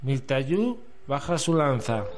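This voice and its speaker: A man's voice: medium pitch, sing-song, slow. A man reading scripted storytelling narration as the narrator of an amateur radio drama. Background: music.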